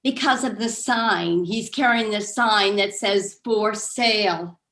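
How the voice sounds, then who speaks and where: Woman acting a nosy worried suburban housewife, talks slow, play reading over video call. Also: medium pitch, fairly bright tone. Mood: chatty, worried. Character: gossipy, anxious suburban housewife, wary of newcomers.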